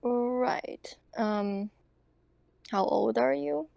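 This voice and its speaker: A woman's voice: on the high side, vocal fry, dark tone, dry recording, highs cut off. A woman playing a tabletop roleplaying game, speaking hesitantly as she improvises dialogue and narration. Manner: hesitant, halting delivery.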